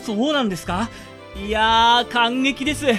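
A man playing a friendly, chatty, excitable train hand strap in a comic radio drama. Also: very high voice, normal speed, faint music behind. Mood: starstruck.

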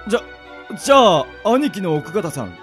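A man's voice: high-pitched, very animated, normal speed, fairly bright tone, faint music behind. A man acting an earnest, easily flustered yakuza underling, stammering and melodramatic in a radio drama. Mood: flustered, curious. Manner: stammering, melodramatic, rough henchman's slang.

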